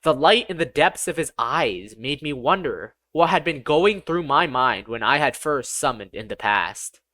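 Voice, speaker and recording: An audiobook narrator reading a scripted first-person story in an expressive, deliberate storytelling manner. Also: medium pitch, dry recording.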